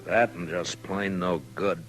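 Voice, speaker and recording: Stern, weary American marshal, very low terse voice, old radio western with faint music. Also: male voice, steady tone, takes it slowly, a bit bright. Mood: dry. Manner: terse, gruff, no-nonsense. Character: stern, weary male frontier marshal, plain-spoken.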